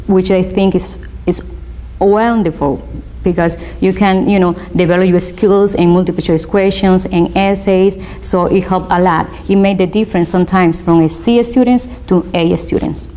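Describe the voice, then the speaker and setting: A woman with a Spanish accent, a native Spanish speaker and law student, giving an earnest, motivational interview in halting non-native English. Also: lowish voice, a little mellow. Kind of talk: interview, personal testimony.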